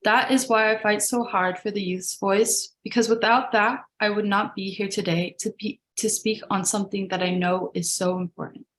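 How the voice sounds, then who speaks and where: Young woman, advocate, reads her own speech earnestly over a call mic; mid pitch. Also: normal speed, few pauses. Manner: earnest, steady, with small restarts. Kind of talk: reading a prepared personal speech.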